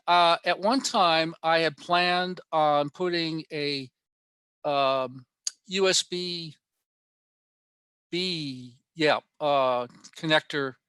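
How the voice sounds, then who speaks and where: A man giving an informal technical presentation online, pausing often in mid-sentence as he explains. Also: a little high, pushed and bright, clear, resonant tone, dry recording. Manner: halting, with frequent mid-sentence pauses. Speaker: amateur radio club presenter. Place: online workshop talk.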